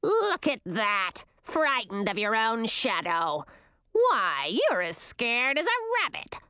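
A woman voicing a cruel queen turned into a hag, with a raspy, scornful voice in a storybook reading. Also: fairly high pitch, huge pitch swings, takes it slowly, pushed and bright, no room sound, clean studio sound, heavily acted. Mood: gleeful.

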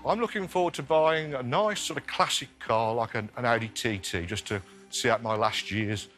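A man's voice: mid-range voice, moderate pace, fairly bright tone, soft background music. A man in his fifties, a quiz show contestant, answering politely with some hesitant ums. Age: 50s. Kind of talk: answering questions in a game show.

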